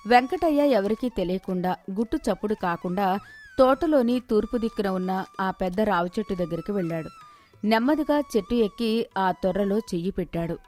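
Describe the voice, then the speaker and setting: A woman's voice: medium pitch, animated, fairly quick, darkish tone. Woman narrating a moral story over music.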